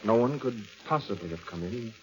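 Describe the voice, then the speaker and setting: Casting: man playing gentle, grave hospital companion, low register, slightly hesitant, dull vintage radio recording. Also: animated, fairly quick, natural voice. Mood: grave, concerned. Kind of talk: 1940s radio acting. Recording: muffled old radio recording.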